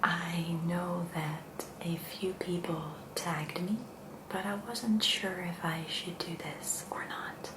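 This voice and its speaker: A Dutch woman with a Dutch accent, speaking English as a second language in a gentle, hushed, soft-spoken ASMR style as she hosts. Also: fairly low pitch, steady tone, slow, roomy sound, background noise. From Netherlands. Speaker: ASMR video host.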